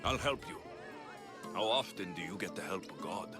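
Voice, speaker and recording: An actor playing a sceptical mercenary hero of ancient Greece in a video game, talking in a dry, sardonic manner. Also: male voice, sits low, expressive, normal speed, crowd noise. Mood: wryly curious. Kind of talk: video game cutscene acting. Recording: music playing behind the voice.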